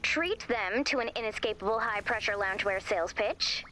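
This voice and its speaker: An actress playing a sardonic, bossy young teammate in a video game, with a dry, snarky delivery. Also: female voice, mid-range voice, moderate pace, sharp tone, a little hiss or hum, close to an everyday voice. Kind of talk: video game character voice acting.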